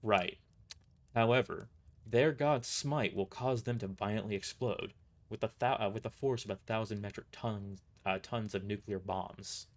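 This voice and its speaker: A man's voice: on the low side, normal speed, lightly acted. A man playing a weary mercenary boss who gives grave, matter-of-fact briefings in an audio drama. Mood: grave, explanatory.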